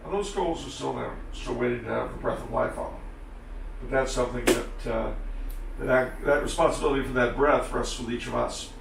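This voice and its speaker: A man's voice: mid-range voice, brisk, creaky. A man whose first language is French, giving dry, sarcastic, wide-ranging answers as an interview guest. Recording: echoey room, distant mic, faint noise.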